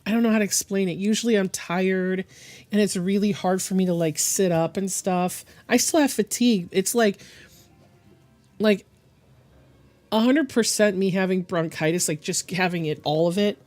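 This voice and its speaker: A woman chats casually during a live art stream, her voice hoarse and gravelly from a chest illness. She talks in a halting, meandering way, stopping often to clear her throat, while soft music plays faintly behind her. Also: mid-range voice. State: hoarse and deepened from bronchitis.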